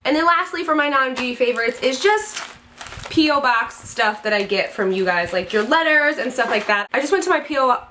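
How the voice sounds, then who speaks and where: A woman's voice: sits high, animated, moderate pace, bright, forceful tone, a little hiss or hum. A young woman in her twenties chatting casually as a beauty vlogger, gushing and bubbly, a little congested from a head cold. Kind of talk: casual chat to camera.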